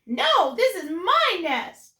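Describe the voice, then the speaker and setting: Woman putting on a shrill, excitable storybook farm bird. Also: heavily acted. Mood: possessive. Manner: exclaiming, drawn-out, shrill. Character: excitable, pushy female farm birds: chicken, duck, goose. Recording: roomy echo, distant mic.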